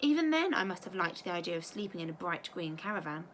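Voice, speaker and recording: A woman teacher reading a children's storybook aloud with expressive narration. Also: on the low side, fast talker, a little hiss or hum.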